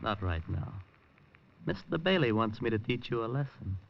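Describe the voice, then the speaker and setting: An actor playing a menacing hired thug who mocks a reporter in a 1950s radio drama. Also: male voice, fairly low pitch, big pitch swings, moderate pace, gravelly. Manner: sneering, mocking. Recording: dull old radio broadcast with faint hiss.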